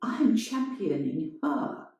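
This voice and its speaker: A woman's voice: lowish voice, very animated, takes it slowly, a little mellow, distant mic, voice pushed up. A woman voicing a defiant woman theatre producer in a dramatised short story, pressing and challenging. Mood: hurt. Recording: strongly echoing, roomy sound.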